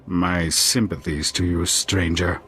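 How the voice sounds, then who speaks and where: A man acting a smooth, menacing arms dealer in a video game, oily and businesslike. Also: sits low, steady tone, slow pace, a bit bright, faint music behind. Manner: oily, businesslike, veiled threats.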